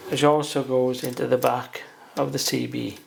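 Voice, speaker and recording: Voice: medium pitch, flat delivery, normal speed, gravelly, slight background noise. A hobbyist presenter giving a casual beginner's how-to, talking plainly and without hurry through each step while working.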